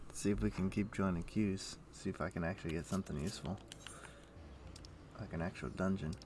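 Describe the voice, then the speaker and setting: A man livestreaming a game, chatting casually and thinking aloud in a rambling way, with a rough morning voice. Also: deep voice, steady tone, a little fast, darkish tone, a little hiss or hum. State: rough morning voice, throat clearing. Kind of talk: casual chat while playing an online game.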